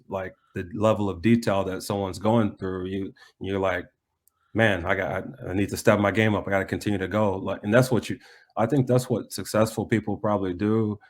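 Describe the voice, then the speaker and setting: An American man talking reflectively in a conversational interview, often pausing mid-thought to restate himself. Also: low voice. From United States. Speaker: soccer coach being interviewed.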